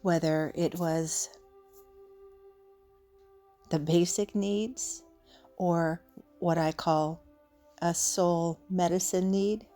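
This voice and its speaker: A woman leading an unscripted guided meditation in a soothing, gentle, unhurried way with long pauses. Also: fairly low pitch. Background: soft background music.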